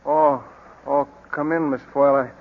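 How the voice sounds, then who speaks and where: Male actor as well-bred smitten boss, polite, halting, earnest, 1940s radio drama, faint hiss, dull sound. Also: medium pitch, fairly even intonation, natural voice. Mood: dreamy, flustered. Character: bashful, well-bred young magazine boss, smitten suitor. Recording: muffled, hissy 1941 radio recording.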